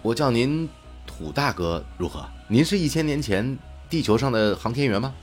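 A man's voice: mid-range voice, expressive, moderate pace, faint music behind, close to an everyday voice. A man playing a laid-back, curious night-shift astronomy researcher in an audio drama, speaking casually and a little hesitantly. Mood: polite, tentative.

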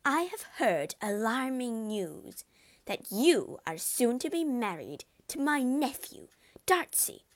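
A woman's voice: a little high, very animated, slow, no room sound, pitched above the performer's own voice. A woman voicing a domineering elderly aristocratic lady who talks in an imperious, scolding way, raised above her own voice.

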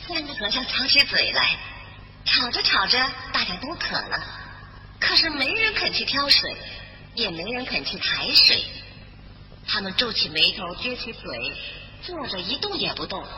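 A woman storyteller narrating a scripted children's bedtime tale in an expressive style. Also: a little high, normal speed. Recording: dull roomy recording with music behind.

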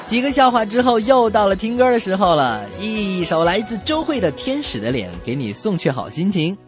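A woman's voice: medium pitch, very animated, normal speed, music in the background. A woman co-hosting a radio comedy show, telling jokes and bantering with a partner.

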